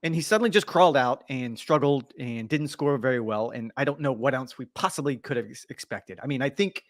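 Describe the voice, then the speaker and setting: A man's voice: mid-range voice, brisk, no echo, clean studio sound. Teasing man co-hosting a podcast. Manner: teasing, animated banter. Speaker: podcast co-host. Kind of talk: casual sports podcast chat.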